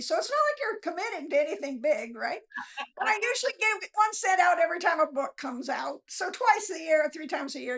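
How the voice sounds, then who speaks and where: Female guest, extremely high pitch, casual conversational delivery, self-deprecating humor. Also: creaky, a bit bright. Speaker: guest novelist. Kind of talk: casual chat.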